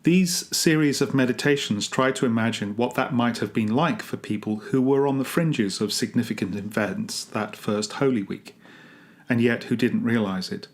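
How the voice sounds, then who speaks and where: A man reading a scripted, reflective devotional meditation as a church rector, in a measured and earnest manner. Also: mid-range voice.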